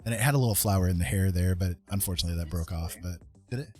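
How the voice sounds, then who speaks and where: A man's voice: low voice, very fast, a little mellow, faint music behind. A man hosting a casual live art stream, chatting to viewers in a rambling, hesitant way and often repeating himself. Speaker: live stream host and artist.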